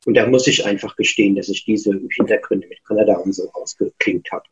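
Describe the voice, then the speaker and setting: A man from Germany, an author, answering interview questions over the phone in a relaxed, chatty way with many fillers. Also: fairly low pitch, fairly quick. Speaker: book author. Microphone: phone line. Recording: muffled phone-call sound.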